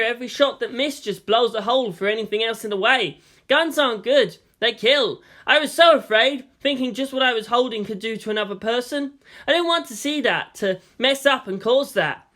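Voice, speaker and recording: A narrator voicing awed schoolchildren swapping battle stories in an animated, slangy way. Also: mid-range voice, a little fast, voice pushed up. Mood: shaken, earnest.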